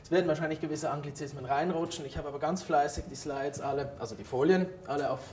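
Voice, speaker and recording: High-pitched man presenting in an echoey room. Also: quick pace, a little mellow, slight background noise. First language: German. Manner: informal, addresses audience with questions. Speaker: conference speaker, former molecular biologist. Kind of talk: conference talk, explanatory. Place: conference room, talk before an audience. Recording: roomy echo, distant microphone in hall.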